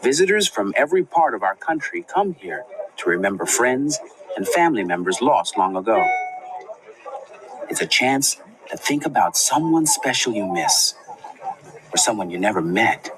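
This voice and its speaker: A man giving a measured, reflective scripted narration in the manner of a documentary for a children's programme. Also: fairly low pitch, big pitch swings. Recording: clearly noisy soundtrack with ambient sound.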